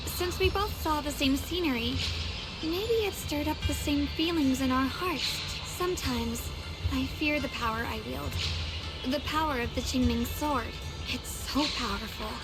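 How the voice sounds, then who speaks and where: A woman playing an earnest, introspective young swordswoman in a video game, soft-spoken and reflective, as if confiding her fears. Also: high voice, a little slow, low-quality recording. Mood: troubled, confiding. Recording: loud game music behind.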